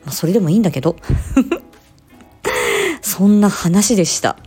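Animated, bright-to-mellow woman chatting solo. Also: mid-range voice, normal speed, husky, soft background music. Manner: expressive, re-enacts short quotes while explaining. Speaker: speech-language therapist hosting an audio diary. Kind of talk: casual solo chat, storytelling.

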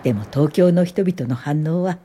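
Actress as mellow, earnest woman social worker. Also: deep voice, a little hiss or hum, natural voice. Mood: reflective. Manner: polite, thoughtful, measured delivery. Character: earnest, principled woman social worker turned educator, looking back. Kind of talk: radio drama dialogue and reflective first-person narration.

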